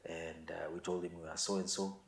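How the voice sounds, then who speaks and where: Middle-aged Kenyan male interview guest, low-pitched, casual storytelling delivery, roomy echo. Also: flat delivery, brisk, vocal fry. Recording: echoey room.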